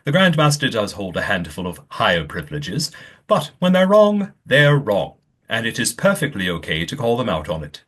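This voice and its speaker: Lively, formal master of an old manor, acted by a man. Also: lowish voice. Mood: stern, playful. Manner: formal, precise, measured wording. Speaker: grand master of a secretive manor. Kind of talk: audio play acting.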